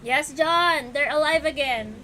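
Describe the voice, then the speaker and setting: A woman's voice: high-pitched, measured pace, slight background noise, rough recording. A woman with a Filipino accent chatting casually with viewers as she hosts a game livestream, switching between English and Tagalog. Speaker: livestream host playing a video game. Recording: game music playing loudly behind. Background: loud video game music.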